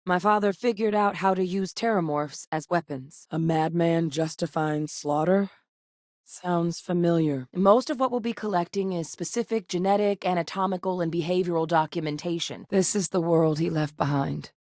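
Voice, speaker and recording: An actress playing a steady, determined female military scientist in a video game, with a serious, matter-of-fact manner. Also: on the low side, normal speed, no echo, studio quality, lightly acted. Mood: hurt, bitter.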